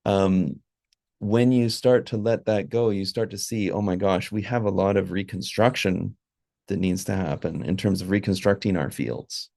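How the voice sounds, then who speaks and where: Man, very low voice, gravelly, rambling with fillers, dry sound. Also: normal speed, darkish tone, no room sound. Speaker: panelist.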